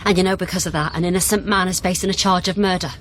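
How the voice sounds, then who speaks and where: A woman's voice: lowish voice, fairly even intonation, rapid-fire, a little hiss or hum, lightly acted. A woman playing a determined, confrontational girlfriend of an accused man in a naturalistic radio drama. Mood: accusing, insistent.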